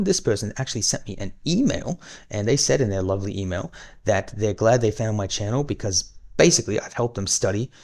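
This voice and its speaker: Fast-talking, low-voiced man teaching a course. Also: gravelly, a little mellow. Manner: quick, chatty and encouraging, addresses viewers as guys. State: losing his voice. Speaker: online course instructor. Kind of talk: recorded exam-prep lesson.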